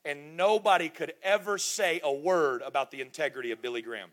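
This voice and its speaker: A man's voice: fairly high pitch, a little fast, a bit bright. A middle-aged man preaching a sermon in a church, emphatic and repetitive, with rhetorical questions. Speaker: pastor.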